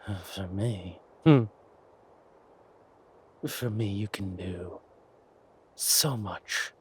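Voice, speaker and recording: A man playing a villainous king in an audio roleplay, silky and amorous yet quietly furious, with deliberate, mock-polite speech. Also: fairly low pitch, slight background noise. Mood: mock polite, threatening.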